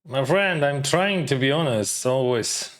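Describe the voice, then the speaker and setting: A man at a medium pitch talking through his poker hands for viewers. He thinks aloud in a loose, chatty way, tossing in casual slang, and his English carries a light accent. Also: expressive, unhurried. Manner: mildly accented non-native English. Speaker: poker streamer. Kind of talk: casual live-stream commentary.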